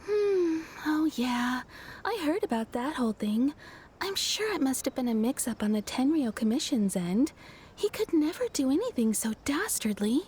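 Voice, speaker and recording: Chatty townswoman, game character, high voice, lively. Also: moderate pace, slight background noise. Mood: warm, amused. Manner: chatty and amused, tells anecdotes. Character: cheerful young woman of the town, fond storyteller. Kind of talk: video game voice acting. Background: soft game music.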